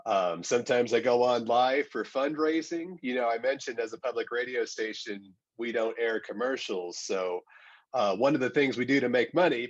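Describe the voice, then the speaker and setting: A man giving measured, explanatory answers as a radio program director, often repeating the start of a phrase. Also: lowish voice, highs cut off. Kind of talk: explanatory question-and-answer talk.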